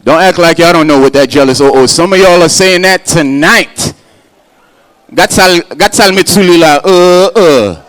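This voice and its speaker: A man's voice: a little high, normal speed, a bit bright. A man performing a stand-up comedy set at a gala, playful and slipping in Haitian Creole phrases. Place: hotel banquet hall at a gala.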